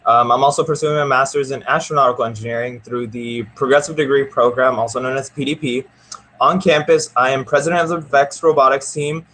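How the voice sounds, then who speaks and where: A man's voice: mid-range voice, fairly even intonation, a little fast, fairly bright tone, slight background noise, rough recording. A young man introducing himself as a student ambassador in an eager, upbeat way. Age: young adult. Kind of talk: casual self-introduction.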